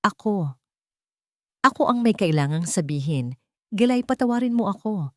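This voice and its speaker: A woman's voice: medium pitch, lively intonation, normal speed, dry recording, studio quality, pitched above the performer's own voice, close to an everyday voice. Woman voicing a remorseful, pleading Filipino husband. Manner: earnest, pleading. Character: remorseful, pleading husband, a humbled rich man.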